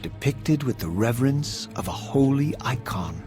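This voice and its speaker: Guy doing a proud brooding wraith for a game, mid-pitched, formal and solemn, music under it. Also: lively intonation, natural voice. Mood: grave, ominous. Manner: grave, formal, measured eloquence. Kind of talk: video game narration and cutscene acting.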